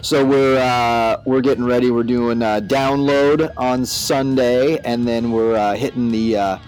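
A man's voice: medium pitch, steady tone, measured pace, a little mellow, poor audio. Casual male podcast host over guitar music.

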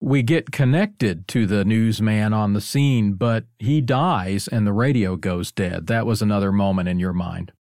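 A man's voice: fairly low pitch, measured pace, hardly any pauses, a little mellow, no echo. A man hosting a conversational interview, speaking as a podcast presenter into a close microphone. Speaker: podcast host.

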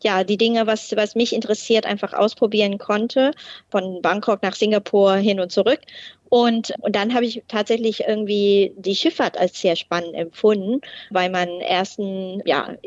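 A middle-aged woman talks through her answers in a hesitant way, pausing on fillers and starting over. Her voice sits in a normal range. The recording is dry and a little dull. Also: medium pitch, steady tone, a little mellow, no room sound. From Thailand. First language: Thai. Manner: hesitant, many fillers and restarts. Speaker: guest, port process manager. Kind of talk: interview answers.

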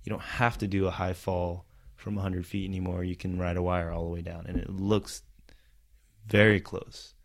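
Laughing, animated man with low voice. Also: moderate pace. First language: Vietnamese. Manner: animated, laughs often, acts out quotes. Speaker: stunt performer. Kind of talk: casual film discussion.

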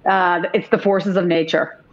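A woman's voice: lowish voice, fairly even intonation, unhurried, a little hiss or hum. Woman guest on a lo-fi video call. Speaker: guest author. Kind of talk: casual interview.

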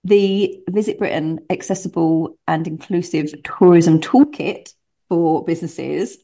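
Lady podcast host, fairly low voice, chatty and rambly, keen. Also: a little slow, warm tone. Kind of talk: casual podcast interview.

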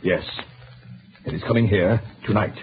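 A man's voice: on the low side, moderate pace, natural voice. An actor playing an earnest, urgent male doctor, insistent and grave, in a 1930s radio drama. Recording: muffled, hissy 1930s radio recording.

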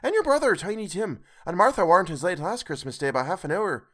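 A man's voice: high-pitched, lively intonation, fairly quick, fairly bright tone, voice pushed up. A man voicing a bustling, fond mother of a poor family, fussing and motherly.

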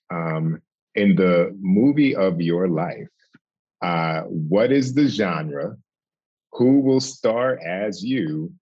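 A man with a very low, husky voice hosts a casual chat, often stumbling and repeating words. The audio is dry and a little dull. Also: darkish tone, no echo. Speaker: podcast host.

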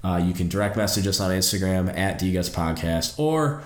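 A man's voice: deep voice, lively intonation, moderate pace, echoey room. Congested, nasal man, podcast host. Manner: earnest, encouraging, with restarts. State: stuffed up and congested. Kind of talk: solo mentoring podcast talk.

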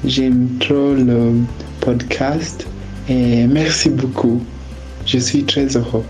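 Man, slow careful voice message. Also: medium pitch, steady tone, a little mellow, faint music behind, low-quality recording. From Kenya. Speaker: listener sending a message.

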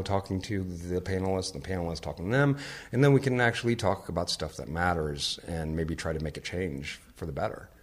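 Low-voiced man, relaxed and chatty. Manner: relaxed, chatty, laughs often. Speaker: podcast host and venue sound manager, interview guest. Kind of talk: casual interview conversation.

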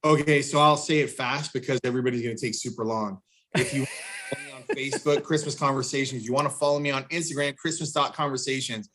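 A man's voice: medium pitch, huge pitch swings, fast, fairly bright tone. A man bantering on a podcast in a casual, playful and blunt way.

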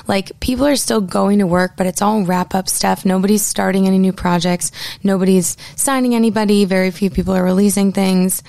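A young woman hosts her own podcast, talking loosely and casually with plenty of "like"s. Her low voice has a gravelly rasp to it. She sits close to the mic in a dead, dry room. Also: darkish tone, dry recording. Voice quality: low raspy speaking voice. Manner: chatty, lots of "like" fillers. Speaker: podcast host. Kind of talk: casual solo podcast chat. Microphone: headphones on, close studio mic.

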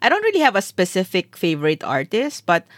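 A woman's voice: mid-range voice, huge pitch swings, fairly quick, dry recording, clean studio sound. A woman in her thirties from the Philippines, chatting casually as a co-host and laughing often. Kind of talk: casual chat.

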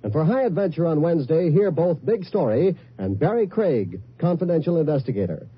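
A male 1950s radio announcer with a highish, husky voice. He sells with polished emphasis and big swings of pitch on a slightly dull old broadcast. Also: big pitch swings, brisk. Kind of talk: 1950s scripted promotional announcing.

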